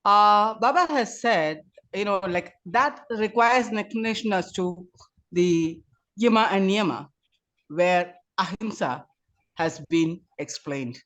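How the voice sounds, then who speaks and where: Lady in a group discussion, polite, kinda halting, mid pitch voice, hunting for words. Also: a bit bright. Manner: hesitant, polite, searching for words. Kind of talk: unscripted discussion remarks.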